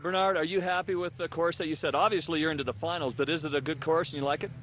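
Quick-talking male ski race interviewer. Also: on the high side, fairly even intonation, a bit bright, a little hiss or hum. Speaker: sideline interviewer. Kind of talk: post-race interview questions. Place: outdoors at a ski race finish area.

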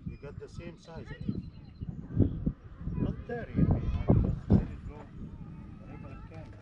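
Brief: middle-aged man, patient explainer, gravelly and lively in pitch, heard from off-mic outdoors with heavy noise. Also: a little slow. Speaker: fishing companion explaining technique. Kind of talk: casual chat. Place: outdoors on a lakeside fishing pier. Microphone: camera worn by someone else, off-mic. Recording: distant, dull, noisy outdoor audio.

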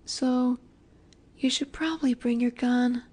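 A woman playing a flirty, confident female mafia boss in a roleplay audio drama, coy and teasing with breathy asides. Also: fairly high pitch, fairly even intonation, slow pace, dark tone. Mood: seductive, teasing.

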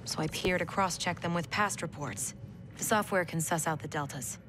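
An actress playing a composed young military commander who talks in a matter-of-fact way in a video game cutscene. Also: female voice, fairly low pitch, moderate pace, noisy background, close to an everyday voice.

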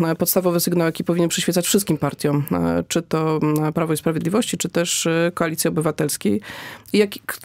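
A woman's voice: lowish voice, darkish tone, dry recording. A middle-aged woman speaks as a political guest in a radio studio. She hesitates, filling gaps with short sounds before pressing her point. The mic is close and the room dry. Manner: hesitant, many "e" fillers. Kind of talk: political debate. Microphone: studio mic with headphones.

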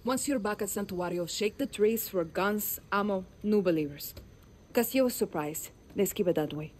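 An actress playing a tough woman rebel leader who briefs her fighters in a brisk, commanding way. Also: medium pitch, a little hiss or hum, natural voice. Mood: determined. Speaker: guerrilla leader. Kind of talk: video game cutscene acting. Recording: game cutscene with loud score.

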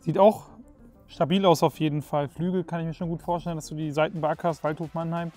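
Young man, host, higher voice, lively joking panel talk, lapel mic in a sports hall, faint music behind. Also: a little fast. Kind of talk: casual panel chat. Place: indoor football hall.

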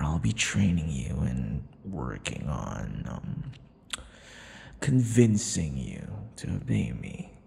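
Man acting a low, swooping, possessive vampire captor. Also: mellow, exaggerated voice. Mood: controlling, playful. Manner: theatrical, taunting, breathy whispers mixed with murmurs. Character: possessive, teasing, vain male vampire captor. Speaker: audio roleplay performer. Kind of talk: intimate ASMR boyfriend roleplay. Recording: long reverberant echo.

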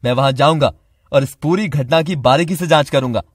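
A man's voice: fairly high pitch, brisk, husky, no echo, studio quality. Man voicing an earnest young warrior prince. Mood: resolute. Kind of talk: Hindi cartoon voice acting.

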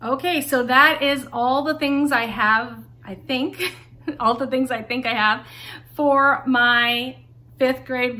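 A woman's voice: high-pitched, sharp tone. A woman in her thirties casually reviewing her daughter's homeschool curriculum to camera, chatty and friendly, speaking from a home schoolroom. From Taiwan. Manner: chatty, friendly, frequent "like" fillers. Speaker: homeschool vlogger. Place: home schoolroom with bookshelves, indoors.

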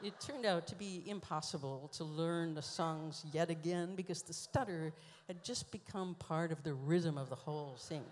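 A woman telling dry, musing stories with deliberate pauses, performing a spoken-word monologue into a stand microphone on a large theater stage. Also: deep voice, a little mellow. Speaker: performance artist reading her book on stage. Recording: live hall reverb.

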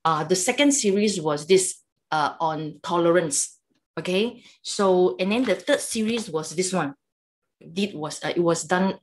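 Older Malaysian woman giving a chatty talk. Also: sits low. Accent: Malaysian English. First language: Cantonese. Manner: chatty, halting, lots of "okay" and "lah" tags. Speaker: Buddhist teacher. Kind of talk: online dharma talk. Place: home room with whiteboard. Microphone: video call webcam.

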